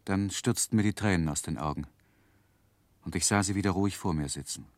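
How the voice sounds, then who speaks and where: An actor playing a grown man who soberly recalls his childhood, narrating a 1960s radio play in a measured, reflective way. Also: deep voice, dry recording, natural voice. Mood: wistful, reflective.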